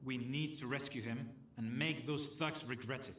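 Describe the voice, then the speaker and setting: An actor playing a friendly, slangy scavenger in a video game, speaking casually with some hesitation. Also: male voice, medium pitch, fairly even intonation, normal speed, fairly bright tone, reverberant, lightly acted. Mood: uneasy, persuasive. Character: chatty scavenger stalker man asking a stranger for help. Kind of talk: video game dialogue acting.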